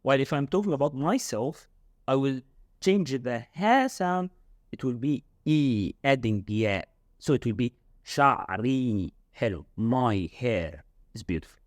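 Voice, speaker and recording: Lively man teaching. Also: medium pitch, dry recording, studio quality. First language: Arabic. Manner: explains slowly and repeats words. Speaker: Modern Standard Arabic tutor. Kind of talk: casual language lesson.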